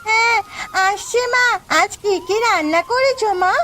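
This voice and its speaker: A woman performs a little village girl for a cartoon. She is eager and impatient, wheedling in a very high voice. Faint music plays behind her. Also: moderate pace, bright, forceful tone. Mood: excited. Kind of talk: Bengali cartoon story voice acting.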